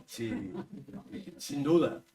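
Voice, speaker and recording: A man's voice: fairly high pitch, animated, extremely slow, vocal fry, far from the mic, a crowd in the background. A man from Barcelona chatting as a guest on a panel, wry and full of hesitant restarts. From Barcelona, Spain. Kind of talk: casual panel conversation.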